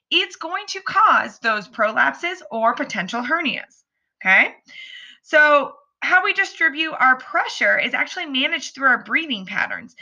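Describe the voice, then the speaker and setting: A woman hosts her own fitness podcast, talking listeners through the basics in an earnest, encouraging way. Her voice sits high and very bright, with a slight rasp. The recording sounds dull and lo-fi. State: slightly raspy voice from allergies. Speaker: podcast host and pelvic floor fitness coach. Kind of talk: solo instructional podcast.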